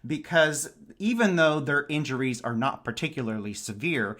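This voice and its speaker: A man's voice: fairly high pitch, moderate pace, a bit bright. Man talking through a book. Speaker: podcast panelist discussing romance novels. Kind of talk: casual book discussion.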